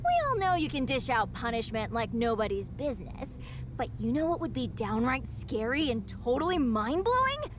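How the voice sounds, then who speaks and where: A woman playing a bubbly, gushing young female schemer in a video game cutscene, full of excitable enthusiasm. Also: a little high, normal speed, background noise. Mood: gushing excitement.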